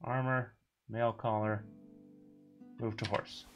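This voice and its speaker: A man giving casual, rambling commentary while playing a video game, with a booming voice. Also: flat delivery, slow, faint music behind. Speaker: streamer.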